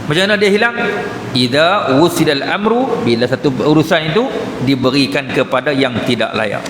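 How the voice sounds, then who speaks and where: A man's voice: a little high, animated, normal speed. A man with a northern Malay Kedah accent giving a colloquial, explanatory mosque lecture as an Islamic teacher, often checking in with short questions. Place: mosque hall. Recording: echoey hall, reverberant, noticeable background noise.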